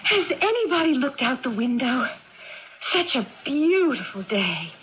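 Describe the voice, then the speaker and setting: An actress playing an excitable young woman with amnesia and swinging moods in a 1980s radio drama, with theatrical, childlike insistence. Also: sits high, unhurried, heavily acted. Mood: elated, insistent. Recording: dull, noisy old radio broadcast.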